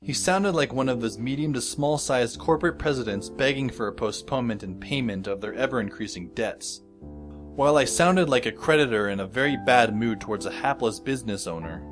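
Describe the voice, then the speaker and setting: A man's voice: mid-range voice, a little fast, music in the background, lightly acted. A man playing a wry, exasperated teenage schoolboy who narrates his story in a dry, sardonic way. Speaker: narrator and lead actor in a fan audio drama. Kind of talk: scripted first-person narration.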